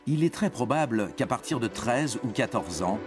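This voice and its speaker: A man's voice: mid-range voice, lively intonation, moderate pace. A man giving scripted educational narration for young teens in a reassuring, explanatory manner. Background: background music.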